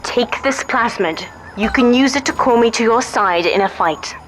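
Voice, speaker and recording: Earnest young game heroine, voiced by a woman, devoted daughter type, slightly high voice, intimate and determined, music under it. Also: normal speed, natural voice, poor audio. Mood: hopeful, resolute. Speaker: video game character. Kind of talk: dramatic game voice acting.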